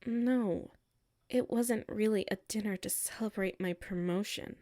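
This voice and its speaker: A woman's voice: mid-range voice, unhurried, warm tone, natural voice. Girl acting out a huffy tsundere office lady w a crush, hurt n defensive, emphatic then mumbly, roleplay audio style. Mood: sulky, hurt. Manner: exasperated, emphatic, dropping into sulky murmurs. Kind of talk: roleplay audio acting, one side of a conversation.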